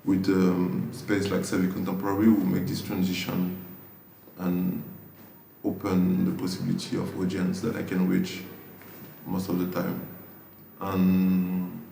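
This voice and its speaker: Deep gravelly French-accented man, roomy sound. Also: mellow. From Guadeloupe. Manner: slow, thoughtful, restarts phrases while searching for words. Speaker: artist interviewee. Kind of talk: reflective interview answers. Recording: roomy echo, distant mic, faint hiss.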